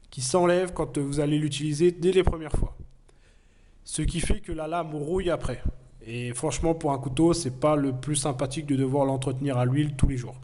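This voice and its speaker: A man with a cold talks through a knife review in a mellow, slightly high voice. He explains earnestly, pausing often on fillers as he goes. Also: a little hiss or hum. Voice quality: hesitant, many fillers. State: has a cold. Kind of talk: casual product review.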